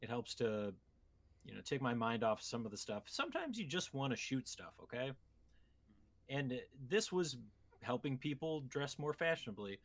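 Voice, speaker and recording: A man's voice: medium pitch, sing-song, normal speed. A man co-hosting a podcast, chatting casually about video games and explaining things in a meandering, conversational way. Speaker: podcast co-host. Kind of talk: casual video game chat.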